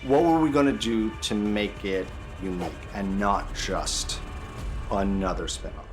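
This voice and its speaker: A man's voice: on the low side, a little slow, music in the background. A man, a producer of the show, talking easily and full of praise in an interview. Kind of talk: featurette interview.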